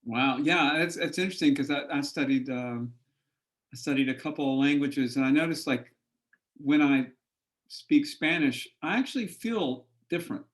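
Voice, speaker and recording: A man's voice: medium pitch, normal speed. A man hosting an interview, talking enthusiastically with frequent stammered repetitions as he asks questions. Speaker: interviewer host. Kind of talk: casual conversational interview.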